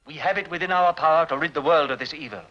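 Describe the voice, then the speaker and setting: A man's voice: fairly high pitch, fast, slight background noise, natural voice. Brief: resolute vampire hunter, man, solemn, muffled film audio. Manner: solemn, determined. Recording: dull lo-fi film soundtrack.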